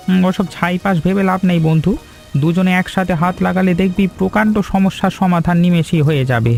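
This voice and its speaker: A man's voice: sits high, fairly quick, gravelly, a little mellow, faint music behind. A man playing a loyal, selfless village friend of a farmer in a scripted cartoon. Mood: determined.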